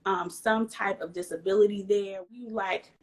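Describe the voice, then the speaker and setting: Woman, podcast guest, speech pathologist, calm reassuring explanations. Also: medium pitch, normal speed, rough recording. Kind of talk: casual conversation.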